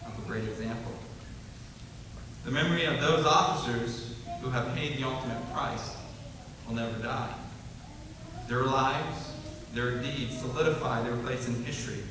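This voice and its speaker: A man who is a police officer, giving a solemn, measured speech at a memorial ceremony. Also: mid-range voice, background noise. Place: large hall at a ceremony. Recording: echoey hall from far off.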